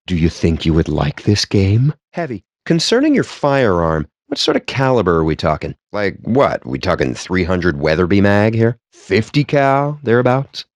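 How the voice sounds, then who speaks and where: A man's voice: lowish voice, a little mellow, no echo. A man voicing a wry, pompous, foul-mouthed gamer geek in video game dialogue, with a sardonic, wordy and theatrical delivery. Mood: intense, theatrical, then curious, conversational.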